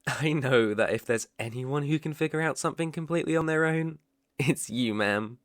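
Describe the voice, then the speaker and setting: A man with a British accent playing a polite, devoted butler in an ASMR roleplay, speaking formally and deferentially with soft attentiveness. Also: mid-range voice, normal speed, no room sound, studio quality, close to an everyday voice. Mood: concerned, soothing. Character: polite, devoted young male butler, gently teasing.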